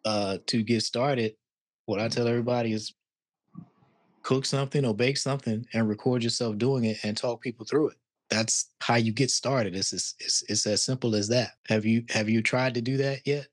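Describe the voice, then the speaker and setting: A man's voice: medium pitch, fairly even intonation, normal speed, no echo. A man in his forties coaching a guest on a video call from his living room, direct and pushing. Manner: direct, pushing, repeats words. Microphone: studio mic on arm, video call.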